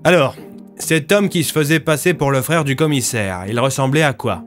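Inspecteur de police las et méthodique joué par un homme. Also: male voice, mid-range voice, moderate pace, soft background music, natural voice. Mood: patient, weary. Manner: probing, short questions. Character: weary, businesslike male police inspector questioning a witness.

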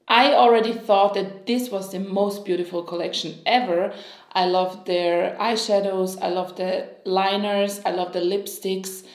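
A woman in her thirties from Switzerland, Croatian by first language, gushing excitedly through a beauty unboxing to camera at home. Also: mid-range voice, reverberant. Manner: excitable, gushing, with sighs and small laughs. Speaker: beauty vlogger. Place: home room, indoors.

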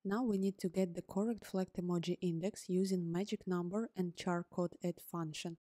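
A woman whose first language is Ukrainian, calmly narrating a scripted coding tutorial step by step as host. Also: lowish voice, normal speed, no room sound, clean studio sound. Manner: calm, step-by-step explaining. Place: voice-over on screen recording.

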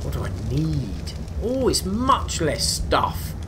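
A man's voice: on the high side, huge pitch swings, slow pace. A man casually commenting on his own game as he plays, joking and talking to himself and the animals on screen. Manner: chatty, jokey, talks to himself and the animals. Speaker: let's play gamer. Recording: game sounds and noise behind voice.

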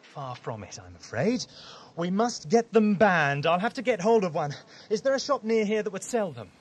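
A man playing an eccentric, brisk doctor investigating a mystery in an audio drama, keen and quizzical. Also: sits high, big pitch swings. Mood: earnest, intent.

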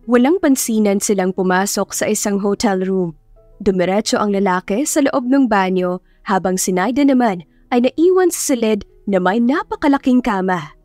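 A woman's voice: mid-range voice, a little mellow, no echo. A woman reading a scripted story as an audiobook narrator, in a dramatic storytelling style. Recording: music behind the voice. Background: background music.

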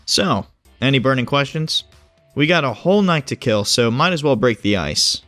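A man's voice: mid-range voice, lively intonation, moderate pace, a bit bright, no echo, soft background music, close to an everyday voice. A man playing a smug, casual agent who explains things glibly in audio drama dialogue. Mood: breezy, amused. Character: breezy, smug male agent who knows hidden things.

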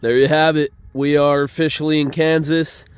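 A man's voice: fairly high pitch, level tone, moderate pace, smooth, dry recording. A man in his thirties talking casually to an arm's-length camera outdoors on a motorcycle trip, rambling with easy fillers, a little stuffed up. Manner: easygoing, rambling, frequent "uh" and praise asides. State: stuffed up from allergies. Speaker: motorcycle travel vlogger. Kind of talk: casual on-the-road narration. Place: outdoors by roadsides and a riverbank. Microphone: action camera or phone at arm's length, some helmet-mounted.

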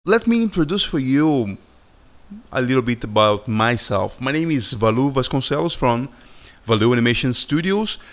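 A man with a Brazilian Portuguese accent, a Portuguese native speaker talking casually to his viewers as an animator hosting his channel, unhurried and searching for words. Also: mid-range voice, expressive. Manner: slow and halting, searching for words, friendly. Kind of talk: casual vlog chat over a screen recording.

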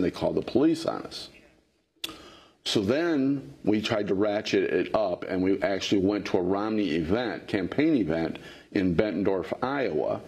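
Husky middle-aged man, earnest worker. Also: a little mellow. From Illinois. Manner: earnest, grows heated and higher when indignant. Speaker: factory worker and interviewee. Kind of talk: interview answers. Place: television studio. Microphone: lapel.

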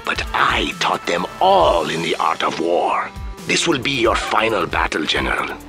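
An actor playing a proud, menacing guerrilla commander in a video game, with a theatrical, pompous, taunting delivery. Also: male voice, deep voice, sing-song, a little slow, sharp tone, faint music behind, exaggerated voice. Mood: gloating menace. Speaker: villain general taunting the player.

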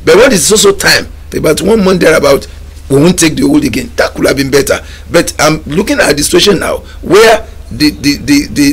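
A man's voice: fairly high pitch, slightly rough. A middle-aged man with a Nigerian accent, a guest on a studio talk show, talking emphatically and repeating words as he gathers his thoughts. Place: TV studio lounge set.

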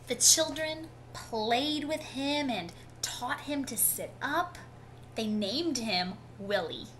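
A woman in her thirties reading a picture book aloud to children in an expressive storytelling manner. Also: high-pitched, takes it slowly. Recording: clearly noisy home webcam recording.

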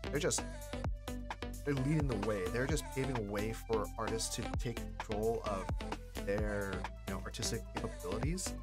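Man, forties, reaction host, casual slangy commentary, stop-and-start delivery, enthusiastic, music behind. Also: on the low side. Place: small indoor streaming room. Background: pop music.

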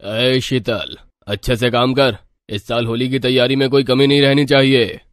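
A man's voice: mid-range voice, little pitch movement, moderate pace, no echo, lightly acted. Man acting scornful, greedy old rich villager. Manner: scornful, bossy.

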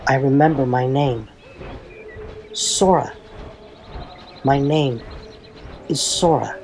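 A man voicing an earnest, gentle young boy in a fan-fiction audiobook, soft and slightly husky. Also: mid-range voice, takes it slowly, a little hiss or hum. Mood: wistful, hopeful. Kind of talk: audiobook character dialogue.

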